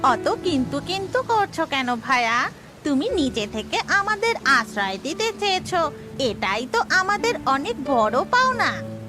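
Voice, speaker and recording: Woman voicing a high-pitched friendly ghost woman, cartoon. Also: sing-song, unhurried, music in the background. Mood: concerned, friendly. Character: friendly female village ghost in human guise. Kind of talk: Bengali cartoon voice acting.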